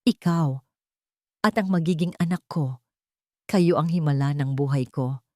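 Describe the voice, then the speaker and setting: A woman's voice: deep voice, unhurried, dark tone, dry recording, studio quality. A woman with a Filipino accent voicing a rich, tender older lady overwhelmed by emotion in an audio drama. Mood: tearful, tender.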